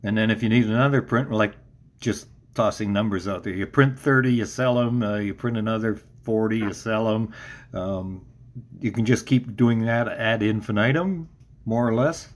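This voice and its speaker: A man's voice: fairly low pitch, moderate pace. A man hosting an interview in a casual, conversational style, feeling his way through loosely phrased questions and remarks. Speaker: interview host.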